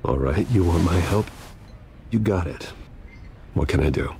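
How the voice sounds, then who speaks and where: An actor playing a guarded, wealthy secret vigilante in a video game, terse and controlled. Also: male voice, sits low, lively intonation, a little slow, vocal fry, mellow, slight background noise, full character voice. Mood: guarded, stern.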